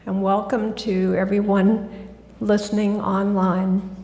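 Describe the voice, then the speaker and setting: A woman giving a gentle, soft-spoken talk as a guest speaker in a church. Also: medium pitch, flat delivery, takes it slowly. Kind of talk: sermon talk. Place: church sanctuary. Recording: echoey hall.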